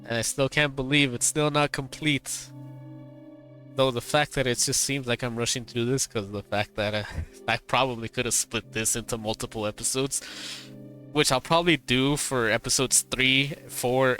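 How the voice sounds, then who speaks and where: A male streamer reading a visual novel's dialogue aloud, his voice tired and strained, halting and repeating words between laughs. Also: medium pitch, fairly even intonation, pushed and bright, faint music behind. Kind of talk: reading game dialogue aloud, then casual chat.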